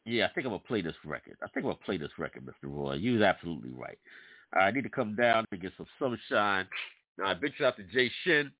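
A man's voice: on the low side, fast, no echo. A man from New York hosting an internet radio show, talking casually and preachily straight to his listeners, congested from allergies. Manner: animated, preachy, addresses listeners directly. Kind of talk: casual talk radio monologue. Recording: dry, tinny phone-like sound.

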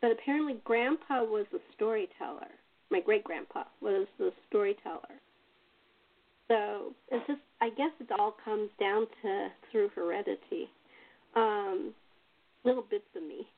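A woman's voice: mid-range voice, measured pace. A woman hosting a casual chat, telling stories in a conversational way. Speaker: host and playwright. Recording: thin phone-like call audio.

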